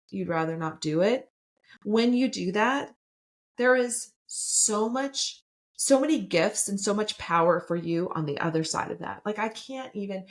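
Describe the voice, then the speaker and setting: Upbeat woman in her fifties hosting. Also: mid-range voice. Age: 50s. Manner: enthusiastic, chatty, frequent "right?". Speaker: podcast host and coach. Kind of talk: solo podcast, motivational talk.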